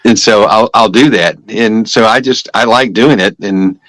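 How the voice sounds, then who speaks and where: A man's voice: on the low side, moderate pace, a bit bright, dry recording, low-quality recording. A man speaking as a podcast guest, telling personal testimony conversationally and emphatically, acting out bits of dialogue.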